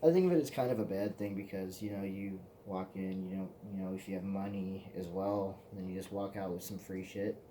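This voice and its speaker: A man's voice: low-pitched, a little fast, hardly any pauses, mellow, slight background noise. A man in his twenties, hosting his own vlog in a loose, profane, meandering casual chat. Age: 20s. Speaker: vlog host.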